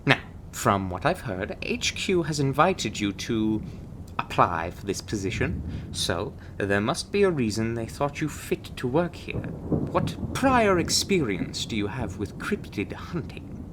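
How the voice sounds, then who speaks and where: A man's voice: mid-range voice, sing-song, measured pace, background noise. A man playing a suave, condescending, secretive monster-hunting agent in a scripted ASMR roleplay, with a theatrical drawl and pet names for the listener. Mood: probing, confiding. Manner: theatrical, drawling, calls listener darling and doll. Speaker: voice actor in an audio roleplay.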